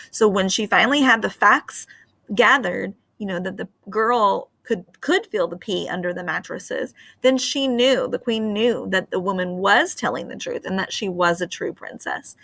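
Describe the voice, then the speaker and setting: Teacher, middle-aged woman; animated storybook reader, bright tone, dry close mic. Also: mid-range voice, no echo. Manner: expressive and animated. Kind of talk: storybook read-aloud and lesson. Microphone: webcam on a screen share.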